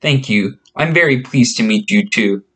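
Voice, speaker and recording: A man's voice: mid-range voice, expressive, normal speed, fairly bright tone, slightly rough. Man reading as a polite tiny boy wizard. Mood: polite, worried. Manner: formal, courteous phrasing. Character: tiny young Japanese boy wizard, polite, earnest, anxious.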